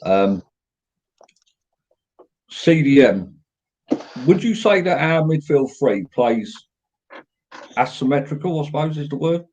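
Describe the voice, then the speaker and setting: A man with a southern English accent and a very deep voice, hosting a casual football fan show and reading out viewers' comments. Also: expressive, takes it slowly, long pauses, darkish tone. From England. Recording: dull, lo-fi audio.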